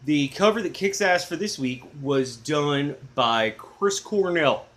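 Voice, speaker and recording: A middle-aged man with a low, booming baritone, hosting a music channel in a rambling, enthusiastic, self-deprecating chat. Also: animated, takes it slowly, fairly bright tone. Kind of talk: casual chatty video blog.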